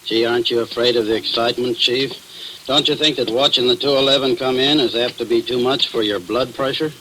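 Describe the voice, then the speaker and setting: A man's voice: medium pitch, fairly even intonation, a little fast, close to an everyday voice. An actor playing a joshing, eager small-town assistant policeman in a 1940s radio drama, slangy and wisecracking. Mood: teasing. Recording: noisy old radio recording.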